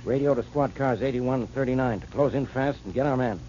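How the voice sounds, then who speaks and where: A man playing a brisk police inspector. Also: medium pitch, dark tone, a little hiss or hum, lightly acted. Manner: brisk, commanding. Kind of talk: 1940s radio acting. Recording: dull old radio recording.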